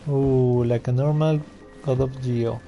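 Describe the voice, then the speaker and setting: A man's voice: mid-range voice, steady tone, takes it slowly, mellow, faint music behind. A man giving casual, informal, sweary commentary while he plays and reacts to a video game. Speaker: gamer playing and reacting on video.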